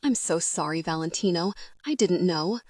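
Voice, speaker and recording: A woman voicing a gentle middle-aged stepmother who speaks tearfully and apologetically in an audiobook. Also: on the low side, animated, normal speed, no room sound, studio quality, recorded from a distance. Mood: remorseful.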